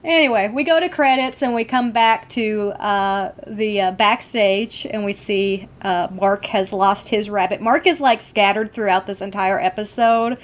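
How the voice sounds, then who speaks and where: Woman podcast host, medium pitch, chatty and into it, casual episode talk. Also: a little slow, few pauses.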